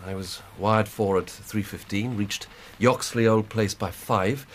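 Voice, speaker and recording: An English actor playing an earnest, plain-spoken police inspector in a radio drama, reporting methodically and emphatically. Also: male voice, lowish voice, fairly even intonation, unhurried, slight background noise, natural voice. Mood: earnest, puzzled. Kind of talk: 1990s British radio drama acting.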